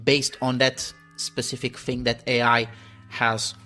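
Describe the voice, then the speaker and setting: A man's voice: mid-range voice, flat delivery, unhurried, bright, forceful tone, soft background music. A man with a Portuguese accent, a native Portuguese speaker, hosting an interview and speaking hesitantly with frequent restarts. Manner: hesitant, searching for words, many restarts. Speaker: podcast host. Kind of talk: casual interview talk.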